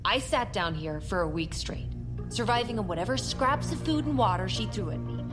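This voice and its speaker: A woman's voice: medium pitch, expressive, normal speed, music in the background, natural voice. An actress playing a tough, defiant ex-Marine woman with a dry, assertive way of talking in an audio drama. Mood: defiant, wry.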